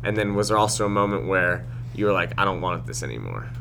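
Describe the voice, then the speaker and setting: Low-voiced eager student asking questions. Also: male voice, quick pace, gravelly. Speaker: student interviewer. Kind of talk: casual classroom interview. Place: classroom. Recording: steady background noise.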